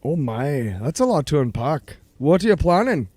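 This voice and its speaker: An actor playing an eager, deferential research chief in an audio drama, explaining things precisely and proudly. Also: male voice, on the high side, sing-song, normal speed, darkish tone, dry recording. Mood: taken aback.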